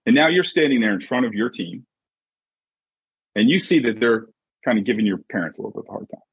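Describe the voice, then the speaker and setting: A husky-voiced man giving an emphatic, conversational motivational talk as a coach and podcast guest, often checking in with short rhetorical questions. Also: fairly low pitch, lively intonation, fast, no echo. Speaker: podcast guest, sports mindset coach and author. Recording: dull, muffled lo-fi audio.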